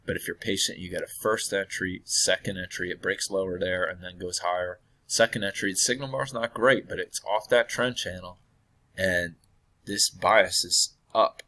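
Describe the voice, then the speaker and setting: Man, deep-voiced, hoarse from illness, casual thinking-aloud chart review with throat clearing. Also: steady tone, moderate pace, bright, forceful tone. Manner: thinking aloud, hesitant with many fillers and self-corrections. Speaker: trading analyst.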